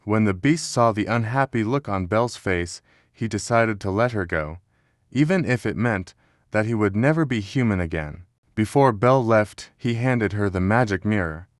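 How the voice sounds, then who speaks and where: A man narrating a scripted children's story in a measured, dramatic storytelling manner. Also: on the low side, animated, no echo, clean studio sound.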